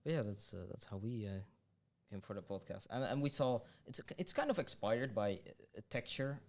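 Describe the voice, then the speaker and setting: A young man hosting a student podcast, speaking hesitantly with many pauses and giggles. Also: on the low side, lively intonation, a little mellow. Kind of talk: casual interview chat.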